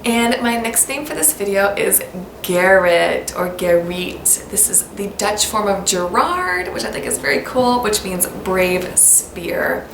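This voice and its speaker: A woman's voice: medium pitch, lively intonation, slow. Upbeat American woman vlogger, echoey room. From United States. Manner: upbeat, gushing, spells words out letter by letter. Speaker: baby-name vlogger. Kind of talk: casual talk to camera. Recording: echoey room with background noise.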